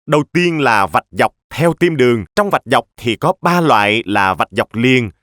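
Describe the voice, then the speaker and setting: An actor voicing a kindly, patient police officer who instructs children in a cartoon. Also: male voice, medium pitch, animated, moderate pace, fairly bright tone, no echo, studio quality, natural voice. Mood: instructive.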